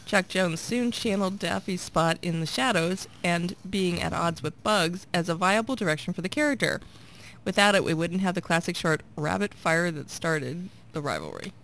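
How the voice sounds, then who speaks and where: Female radio co-host with a hoarse, gravelly edge; playful, quick to laugh. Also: lowish voice, animated, a bit bright, slight background noise, poor audio. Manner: laughs often, playful teasing. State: a bit hoarse after a late night. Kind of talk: casual radio banter.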